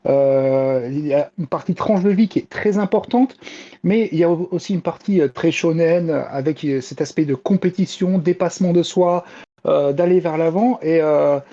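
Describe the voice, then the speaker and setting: Female columnist, very mellow tone, enthusiastic but halting, on a muffled lo-fi link. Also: sits low. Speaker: manga columnist. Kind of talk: casual enthusiastic review.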